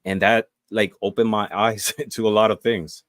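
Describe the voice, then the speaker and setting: A man's voice: lowish voice, level tone, moderate pace, fairly bright tone, no room sound, clean studio sound. A man, a guest telling his story in a casual interview, earnest and full of fillers and restarts. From Puerto Rico.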